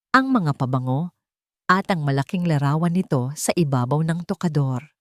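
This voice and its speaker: A woman narrating a scripted romantic drama in an earnest, sentimental way with dramatic pauses. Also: fairly low pitch, darkish tone, no echo, studio quality. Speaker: narrator of romantic audio stories.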